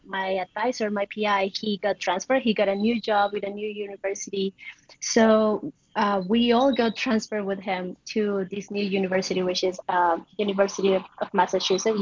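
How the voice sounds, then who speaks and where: A woman's voice: mid-range voice, little pitch movement, normal speed, no echo. A young adult woman with a Colombian accent answers as an interview guest in a casual, conversational way. She is a native Spanish speaker with a mid-range voice. The recording is very dry and slightly dull. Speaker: interview guest, PhD student.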